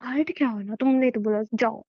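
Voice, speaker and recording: A woman's voice: fairly high pitch, a little fast, lightly acted. A young woman playing a teasing, stubborn girlfriend in an acted phone conversation, insistent and often repeating herself. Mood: playful, sulky. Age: young adult. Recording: dull, lo-fi phone call recording.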